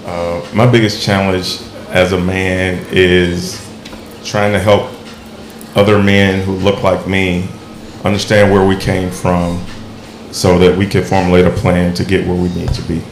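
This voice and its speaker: Deep gravelly man. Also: flat delivery. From Mississippi. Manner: earnest, measured, restarts often. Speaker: podcast guest, retired military researcher. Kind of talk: casual panel discussion. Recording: echoey room, faint background noise.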